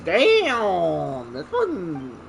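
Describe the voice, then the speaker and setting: A man's voice: sits high, huge pitch swings, extremely slow, creaky, a little hiss or hum. A man hosting a gaming video, giving casual commentary and short interjected reactions as he plays. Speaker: gaming channel host.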